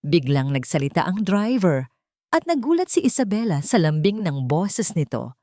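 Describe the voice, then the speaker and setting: Lady narrating a dramatic love story, lowish voice, really animated intonation, dry sound. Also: normal speed, no echo.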